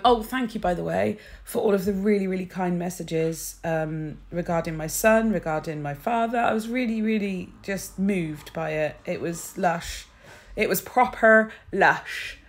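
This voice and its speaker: A woman with a croaky early-morning voice, chatting bubbly through a nail art tutorial and sharing tips. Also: on the low side, a little slow. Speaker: nail technician.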